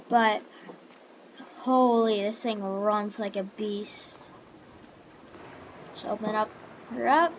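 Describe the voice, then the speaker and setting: A woman doing casual, halting roleplay commentary over a farming game, excitable and given to exclaiming. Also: on the high side. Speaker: gaming video creator. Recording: noisy, with vehicle engine sounds.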